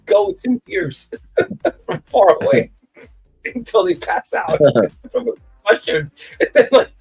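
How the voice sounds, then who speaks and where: A man's voice: sits high, sing-song, takes it slowly, breathy edge, faint music behind, low-quality recording. A man from California chatting on a live stream, joking and laughing through his stories. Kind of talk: casual live-stream chat.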